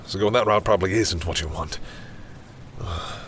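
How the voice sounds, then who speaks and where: A very low-voiced male vampire, acted by a man in a dramatic audio roleplay, speaks with haughty, deliberate command that often sinks into hushed brooding. He is menacing yet reluctantly caring toward mere humans, and there is clear noise behind him. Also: natural voice. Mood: negotiating, apologetic.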